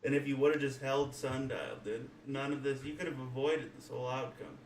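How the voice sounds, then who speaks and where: A man hosting a live trading stream, teasing a co-host in casual banter that swings up into loud mock rants. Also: medium pitch, flat delivery, a little fast, distant mic. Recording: echoey room, off mic, crowd noise.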